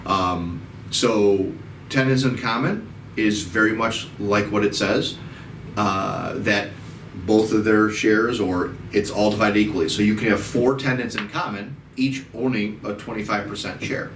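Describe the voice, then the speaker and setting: A man's voice: fairly low pitch, fairly even intonation, a little slow, a bit bright, slight background noise. A man with a Chicago-area American accent, hosting a casual talk and patiently explaining with many repeats and restarts. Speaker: title company host. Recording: echoey room, mic at a distance.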